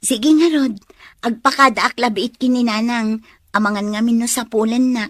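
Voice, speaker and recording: An actress playing an agreeable young daughter with an expressive, coaxing manner in a radio drama. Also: female voice, fairly high pitch, moderate pace, dry recording, clean studio sound. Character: agreeable young woman persuading her mother.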